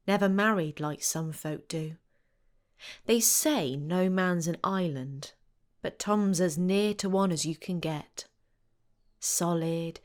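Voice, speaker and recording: A woman narrating a scripted story in a deliberate, evocative way. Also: lowish voice, darkish tone.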